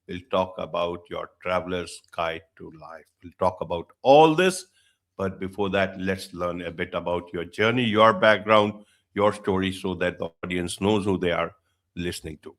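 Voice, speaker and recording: A man's voice: low voice, moderate pace. A man hosting an online business show, welcoming his guest formally and asking emphatic questions. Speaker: host. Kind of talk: show host interviewing.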